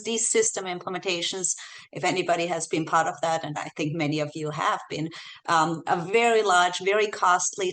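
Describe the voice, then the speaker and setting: A woman's voice: lowish voice, fairly bright tone. A woman from Austria with an Austrian accent, a guest researcher explaining a report in a podcast interview with frequent ums and uhs. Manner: explanatory, with fillers like um and uh. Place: home office in the Bay Area.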